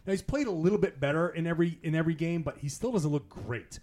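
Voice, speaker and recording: A man's voice: on the high side, quick pace, a little mellow. Chatty male podcast host, laughing often. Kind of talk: casual podcast chat. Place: in-person recording in Las Vegas.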